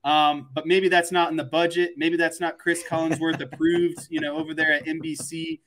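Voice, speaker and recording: Higher-voiced man chatting about sports. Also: level tone, normal speed, a bit bright, slight background noise. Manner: rambling, conversational opinions. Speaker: sports podcast co-host. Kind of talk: casual sports commentary chat.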